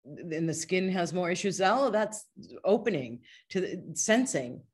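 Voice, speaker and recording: A woman's voice: fairly low pitch, sing-song, a little slow, a little mellow, breathy edge. A woman speaking as a podcast guest, a healer and coach explaining ideas in an earnest, discursive, conversational way. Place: online video call.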